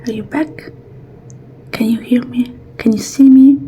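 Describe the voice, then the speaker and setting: Woman doing asmr roleplay, breathy whisper, really slow n mellow, gentle and reassuring. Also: fairly high pitch, fairly even intonation, slight background noise. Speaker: ASMR performer roleplaying a robot technician.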